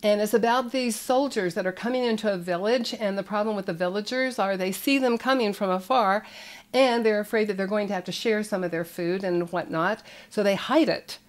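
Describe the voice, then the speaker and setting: A woman's voice: mid-range voice, fairly quick. An older woman hosting a parenting show, talking to camera in a friendly storytelling way, indoors in front of shelves of children's books.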